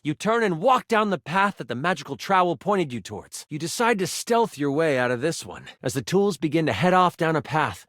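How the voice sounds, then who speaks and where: A man serves as game master in a fantasy video game, telling the story straight to the player. His slightly high voice moves animatedly and theatrically, recorded dry and close. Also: very animated, fairly quick, hardly any pauses, fairly bright tone, no room sound. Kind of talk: dramatic second-person fantasy narration in a video game.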